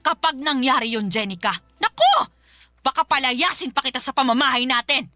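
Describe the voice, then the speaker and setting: A woman playing a domineering, suspicious middle-aged mother in a Filipino radio drama, nagging and accusing in a sharp-tongued, melodramatic way. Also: sits high, big pitch swings, normal speed, over music. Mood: scolding, outraged.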